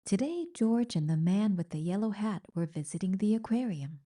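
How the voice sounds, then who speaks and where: A woman reading a children's picture book aloud in an expressive, deliberate storytelling manner. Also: mid-range voice. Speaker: storybook reader.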